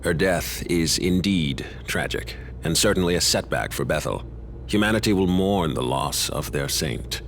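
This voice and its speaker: An actor playing a stately older male leader who speaks in a measured, formal, explaining way in a game cutscene. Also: sits low, animated, gravelly, slight background noise, full character voice. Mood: grave. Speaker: leader of an order. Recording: loud game music behind.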